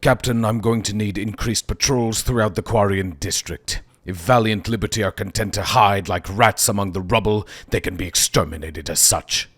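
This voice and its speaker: An actor playing a corrupt, contemptuous city administrator who berates and swears. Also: male voice, fairly low pitch, normal speed, few pauses, breathy edge. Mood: weary, scornful. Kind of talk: audio drama acting. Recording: music playing underneath.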